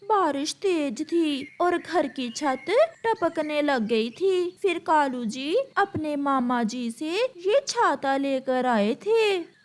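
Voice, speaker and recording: A woman's voice: sits high, darkish tone. A woman voicing a tender, devoted and emotional female bird in a children's cartoon.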